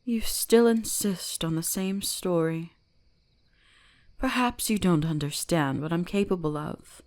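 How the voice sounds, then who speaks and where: A woman voicing a commanding, centuries-old kitsune shrine guardian in an ASMR roleplay, speaking slowly and deliberately with a teasing gentleness. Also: lowish voice, lightly acted. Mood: cold, suspicious. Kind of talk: scripted ASMR roleplay addressed to the listener.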